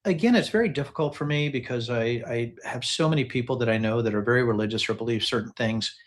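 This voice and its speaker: A man's voice: medium pitch, fast talker. A man hosting a casual live political discussion, talking emphatically and often repeating phrases to drive his point. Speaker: host commentator analyzing political text messages.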